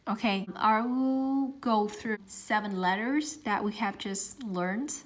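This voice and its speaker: A young woman with a Southern Vietnamese accent and Vietnamese as her first language, teaching pronunciation patiently and saying each sound several times in a gentle, careful way. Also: mid-range voice, takes it slowly. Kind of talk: slow, patient pronunciation lesson.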